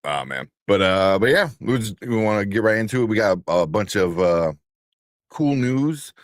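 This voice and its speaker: Very deep-voiced laid-back man. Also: lively intonation, normal speed, no room sound, clean studio sound. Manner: laid-back, chuckling, rambling with fillers. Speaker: co-host. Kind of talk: casual livestream chat.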